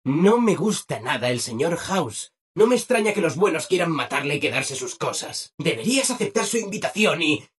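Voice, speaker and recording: An actor playing an over-eager, cheerful robot assistant in a video game, with a Castilian Spanish accent and chirpy, mock-polite delivery. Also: male voice, fairly high pitch, big pitch swings, moderate pace, a bit bright, husky, full character voice. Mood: eager, bubbly. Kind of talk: scripted video game character acting.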